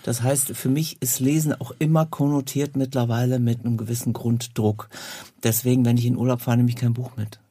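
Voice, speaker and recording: A man's voice: mid-range voice, little pitch movement, brisk, warm tone, husky, dry recording. A man hosting a podcast, speaking in a conversational interview style. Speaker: podcast host.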